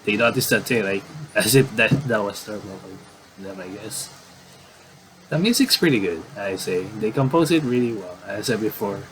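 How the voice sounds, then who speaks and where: A man doing casual game commentary and reading aloud, in a halting, rambling way with repeated words. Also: on the low side, expressive, fairly bright tone, slight background noise, low-quality recording.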